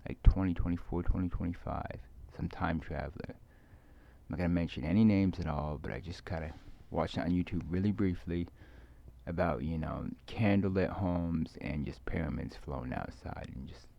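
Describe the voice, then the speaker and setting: A man giving a rambling, casual monologue to viewers, halting and repeating his words as he trails off. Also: low voice, gravelly, dull audio. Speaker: video creator speaking to viewers.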